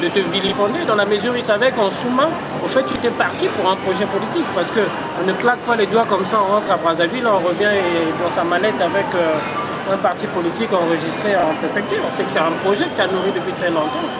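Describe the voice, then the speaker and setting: A man with a notably high voice asks pointed, probing questions, stopping often to hesitate. He is interviewing outdoors on a city street. Loud traffic and passing vehicles fill the recording behind him. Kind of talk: political interview.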